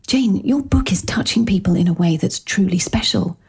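Breathy mellow woman agent, low voice, insistent and upbeat, romcom audio drama. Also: normal speed. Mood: persuasive. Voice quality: breathy, husky. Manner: persuasive, breezily insistent. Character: pushy, upbeat, new-age woman book agent. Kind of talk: audio romantic comedy acting.

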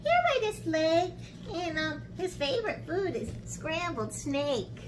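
A woman voicing a tiny, clever, bluffing male mouse in a children's storybook, squeaky and sing-song. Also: very animated, slow, background noise, exaggerated voice. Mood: polite, sly. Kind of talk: children's storybook read-aloud.

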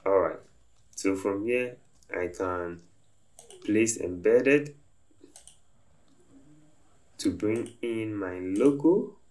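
Brief: male tutorial host, deliberate and instructive, low-pitched, unhurried delivery. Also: darkish tone. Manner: slow, step-by-step instructive talk. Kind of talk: screen-recorded software tutorial narration.

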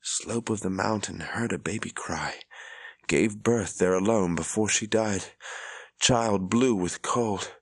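A man voicing a feverish older father murmuring in illness, low and hushed. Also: steady tone, slow pace, no room sound.

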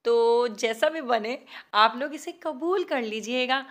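A woman's voice: a little high, slow. A woman hosting a home baking tutorial in voice-over, chatty, self-mocking and pleading, laughing at her own work. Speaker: cooking channel host.

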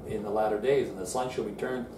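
A man's voice: fairly low pitch, steady tone, fast talker. An older man gives a seated Bible lesson in an earnest, explanatory way, often restarting phrases as he works through verses. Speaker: Bible teacher. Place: indoor room in front of a screen. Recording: roomy, distant, noisy room sound.

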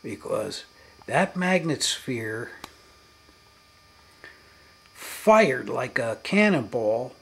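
A man with a medium-pitched, slightly rough voice narrates a hands-on hobby demonstration off the cuff. He takes his time, speaking in halting fragments and leaning hard on certain words. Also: very animated. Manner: halting, broken phrases with emphatic stresses.

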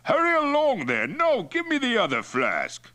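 A man's voice: a little high, huge pitch swings, slow pace, sharp tone, voice pushed up, exaggerated voice. A man voicing a hearty, boastful Mongol chieftain in an audiobook, blustering and jovial with an edge of menace. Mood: tipsy, impatient. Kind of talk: acted dialogue in audiobook narration.

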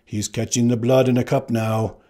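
A man's voice: medium pitch, flat delivery, moderate pace, dark tone, lightly acted. A man doing a plain-spoken giant. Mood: matter-of-fact.

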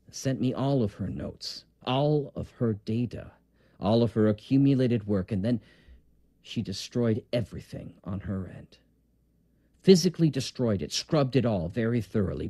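Mellow, grave academic doctor. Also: male voice, lowish voice, no room sound. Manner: measured, formal, precise. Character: grave, principled male academic doctor, family friend. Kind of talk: audio drama dialogue.

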